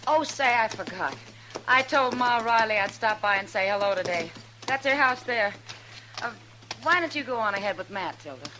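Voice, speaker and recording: A woman's voice: fairly high pitch, lively intonation, normal speed, sharp tone. A woman acting a friendly, breezy frontier town woman in an old-time radio Western. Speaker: actress in a Western radio drama. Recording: noisy vintage radio recording.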